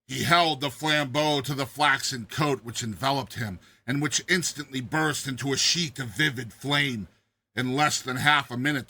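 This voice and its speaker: A man narrating a classic short story as a dramatized reading, with theatrical and deliberate phrasing. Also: mid-range voice, sharp tone.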